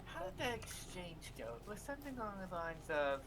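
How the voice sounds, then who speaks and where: A woman's voice: fairly low pitch, sing-song, fairly quick. A woman bantering casually in a group gaming chat, with a mock-dramatic, joking manner. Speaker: player in a group gaming stream. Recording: noisy background hiss on voice chat.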